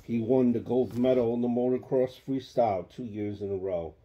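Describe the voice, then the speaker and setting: A man's voice: mid-range voice, steady tone, normal speed, husky, lo-fi sound. A man in his thirties, a father reading a children's book aloud at bedtime. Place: small child's bedroom. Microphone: phone held close.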